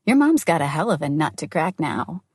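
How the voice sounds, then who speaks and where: A woman's voice: low voice, big pitch swings, brisk, breathy edge, no echo, clean studio sound, exaggerated voice. A woman voicing a young woman's blunt, bossy best friend who orders and teases. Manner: blunt, teasing, commanding.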